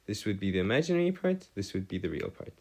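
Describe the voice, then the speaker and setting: Low-voiced male math tutor, swooping intonation. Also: very animated, vocal fry, a little mellow. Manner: formal wording, halting, self-correcting. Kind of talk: improvised explanatory lesson at a digital whiteboard.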